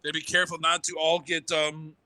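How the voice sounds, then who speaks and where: A man's voice: fairly high pitch, little pitch movement, brisk, sharp tone, no room sound. A man working as an esports analyst, breaking down team play in a live, coaching tone. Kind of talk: live game commentary. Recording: game gunfire and engine noise under voice.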